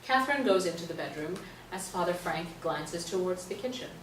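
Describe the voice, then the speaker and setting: A woman's voice: lowish voice, animated, fairly quick. A woman narrator reading stage directions in a scripted play reading. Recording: roomy stage, distant mic, faint noise.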